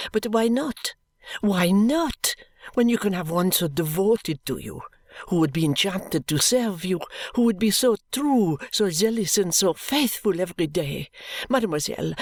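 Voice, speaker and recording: A woman's voice: expressive, measured pace, dry recording. A woman doing an intense French maid. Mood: earnest pleading. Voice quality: low, earnest, subdued.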